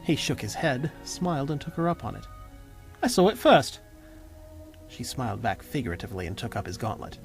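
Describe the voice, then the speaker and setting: A man's voice: mid-range voice, expressive, fast, creaky, few pauses. A man reading scripted narration as the storyteller of an audio drama. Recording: music behind the voice.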